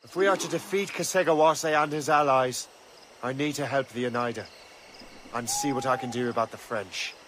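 An actor playing a resolute young ship's captain with an Irish accent, terse and self-assured in a dramatic video game. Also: male voice, medium pitch, moderate pace, noisy background, lightly acted. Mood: determined. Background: music.

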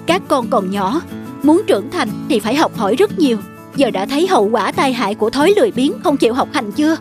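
Cartoon mother bird, protective and scolding, lively delivery over children's music. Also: high voice, fast. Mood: scolding, concerned. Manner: scolding, instructive. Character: protective mother starling, female adult bird, sternly loving. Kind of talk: animated fable dubbing.

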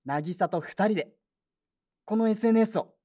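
A woman's voice: mid-range voice, moderate pace, warm tone, lightly acted. A woman playing an earnest, polite teenage boy in a Japanese audio drama. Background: background music.

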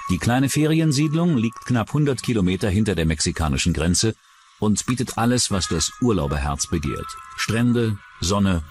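A man narrates a true-crime documentary in scripted style. His voice sits fairly low and moves with lively intonation, with clearly audible music underneath.

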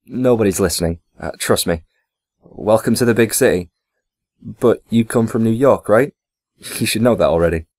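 A man's voice: lowish voice, moderate pace, darkish tone. A man playing a wary, awkward, antisocial young man in an audio drama, hesitant when flustered. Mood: guarded.

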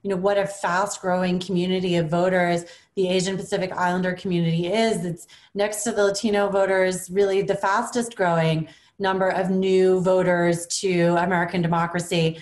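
A woman's voice: lowish voice, little pitch movement, normal speed, darkish tone. Woman in her 40s moderating a video call panel, eager rambling questions, echoey room at home.

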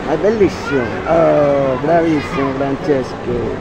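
A man with an Italian accent giving an excitable walking tour of a big shopping mall, mixing Italian exclamations into broken English, recorded on a handheld camera. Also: medium pitch, moderate pace, vocal fry, a loud crowd, heavy background noise. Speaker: family vlogger. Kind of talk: casual walking tour commentary. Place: large indoor shopping mall. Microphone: handheld action camera. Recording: loud background music over the voice.

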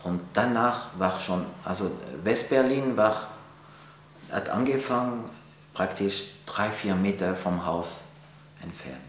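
French-accented man, low voice, echoey room. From France. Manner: halting, many fillers and restarts. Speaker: interviewee, painter. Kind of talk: casual interview answers. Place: art gallery, indoors. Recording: echoey room, faint hum.

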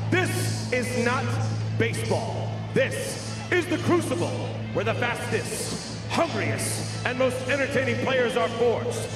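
Booming stadium announcer man over PA. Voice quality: slow, dramatic, drawn-out delivery. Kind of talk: booming hype introduction over PA. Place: large outdoor stadium. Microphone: public address system heard from far. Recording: echoey stadium PA from far off, loud music.

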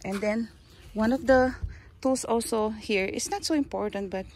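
A woman with a Filipino accent, Kapampangan by birth, casually showing her backyard garden and tools, pausing and searching for words. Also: a little high, darkish tone, slight background noise. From Philippines. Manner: slow, halting, searches for words. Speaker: gardening vlogger. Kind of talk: casual show-and-tell. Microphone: handheld phone, speaker off camera.

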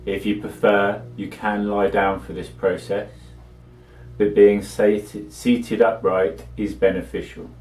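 A man with a low, level voice guides a meditation in a measured, soothing way. He leaves long pauses between short instructions. The sound is echoey and set back from the microphone. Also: flat delivery, darkish tone, recorded from a distance, soft background music.

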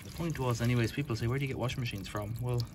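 A man's voice: medium pitch, very fast. A man from the UK walking back alleys outdoors, filming on a handheld phone and chatting in a rambling, matter-of-fact way. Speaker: vlogger. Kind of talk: casual walk-and-talk commentary. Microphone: handheld phone camera. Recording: loud outdoor noise behind voice.

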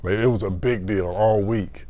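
Deep-voiced man, emphatic storyteller. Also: fairly even intonation, moderate pace, mellow. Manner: emphatic, repeats phrases. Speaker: former football player. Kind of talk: casual interview recollection.

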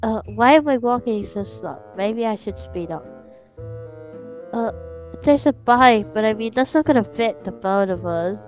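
Woman playing an excitable, high-pitched scheming kid. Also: a little slow, rough recording. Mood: excited, sneaky. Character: scheming, indignant, excitable older child in roleplay. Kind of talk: improvised roleplay acting. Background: music.

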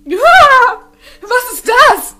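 A woman's voice: very high voice, sing-song, very slow, over loud music, exaggerated voice. A woman playing an exasperated, nervous teenage girl in an anime audio drama, with a nagging big-sister manner. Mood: startled.

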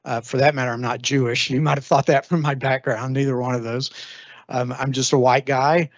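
A man's voice: medium pitch, little pitch movement, fairly quick, dry recording, lo-fi sound. Earnest man giving a talk. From Texas, USA. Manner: earnest, thoughtful, many ums and restarts. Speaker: guest lecturer. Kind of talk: informal lecture. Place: library meeting room. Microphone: handheld microphone.

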